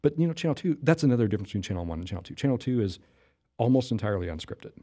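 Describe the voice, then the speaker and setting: A man's voice: medium pitch, very animated, racing, warm tone. A man, a podcast host, chatting as a guest in a casual interview and often asking "you know what I mean.".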